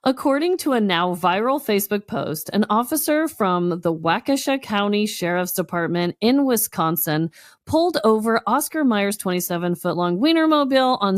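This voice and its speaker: A woman's voice: medium pitch, dry recording, studio quality. An American woman co-hosting a podcast, chatting casually and reading articles aloud in a giggly, conversational way. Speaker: podcast co-host.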